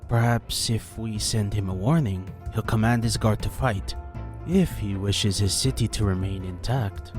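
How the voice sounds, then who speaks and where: A man voicing a grave, measured male ninja master bringing ill news. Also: on the low side, fairly even intonation, darkish tone, soft background music, voice pushed up, close to an everyday voice.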